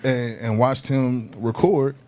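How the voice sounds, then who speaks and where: Laid-back man with a deeper voice. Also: fairly even intonation, slow, darkish tone. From Southern California. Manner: laid-back, peppered with "you know what I mean", laughs as he tells it. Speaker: guest hip hop artist. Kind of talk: casual podcast storytelling.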